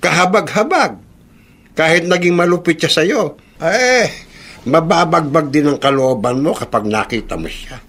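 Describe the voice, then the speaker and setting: A man's voice: a little high, animated, normal speed, fairly bright tone. An actor playing a chatty old male Filipino neighbour, folksy and gossipy, in a radio drama. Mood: gossipy, pitying. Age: older.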